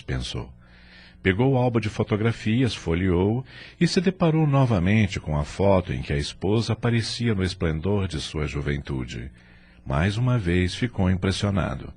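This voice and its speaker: A low-pitched Brazilian man narrates a dramatic story. His delivery is scripted and widely inflected, with a husky edge to the voice. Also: darkish tone.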